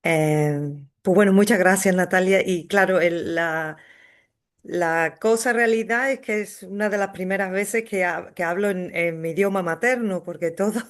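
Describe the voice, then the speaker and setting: A woman's voice: fairly low pitch, moderate pace. Hesitant woman on a video call. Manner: hesitant, many fillers and restarts. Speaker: psychotherapist and researcher giving an online talk. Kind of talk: academic talk, conversational.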